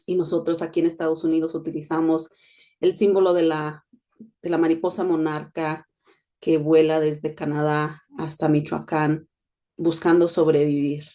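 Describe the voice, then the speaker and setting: Low, mellow-voiced Mexican woman. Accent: neutral Spanish. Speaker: podcast host, education consultant. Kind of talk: personal monologue podcast.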